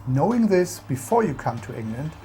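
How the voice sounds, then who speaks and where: German lady explaining english customs, low mellow voice that swings a lot, soft music behind. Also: big pitch swings, moderate pace. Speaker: co-host teaching about English culture. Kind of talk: scripted explainer narration.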